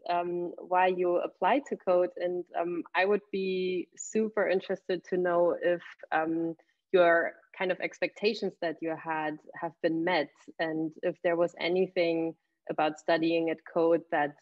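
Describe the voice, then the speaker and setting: A woman moderating a remote student panel over a video call, friendly and halting, with many fillers. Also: lowish voice, little pitch movement, highs cut off. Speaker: event moderator.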